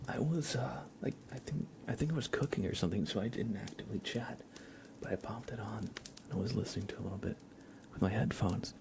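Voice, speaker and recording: A man hosts an ASMR livestream, chatting casually and gently in a hushed whisper, close to the microphone. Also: fairly low pitch, brisk, creaky, background noise. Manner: casual, friendly, thanking viewers. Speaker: livestream host. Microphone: close microphone with compression and limiter.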